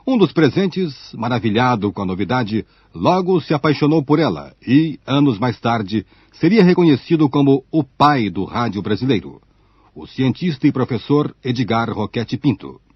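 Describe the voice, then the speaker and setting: A man's voice: medium pitch, very animated, normal speed, husky, dry recording. A man giving formal, rhetorical scripted narration for a radio documentary. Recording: dull archival shortwave-era radio recording.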